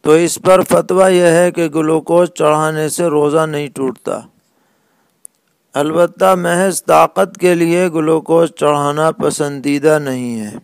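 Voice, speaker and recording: Man, scholarly religious Q&A, clear resonant voice, dry close sound, measured delivery, pauses mid-sentence. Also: a little high, steady tone, dry recording. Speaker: Islamic scholar (mufti). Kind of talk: religious question-and-answer lecture in plain rulings.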